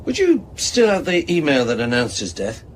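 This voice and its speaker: An actor playing a brisk, businesslike male junior police detective in a radio drama. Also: medium pitch, wildly swooping pitch, a little hiss or hum. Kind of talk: naturalistic radio drama acting.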